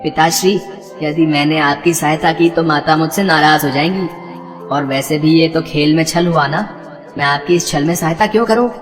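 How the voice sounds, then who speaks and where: A woman's voice: low-pitched, normal speed, in a large hall, soft background music, lightly acted. A woman voicing a polite, honest boy god, a respectful young son in a devotional story. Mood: earnest, refusing. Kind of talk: animated devotional story dialogue.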